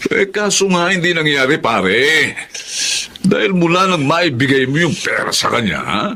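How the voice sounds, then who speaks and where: A man's voice: fairly high pitch, sing-song, moderate pace, bright, forceful tone, full character voice. A man acting a scheming, teasing drinking buddy in a Filipino radio drama, with exaggerated colloquial delivery.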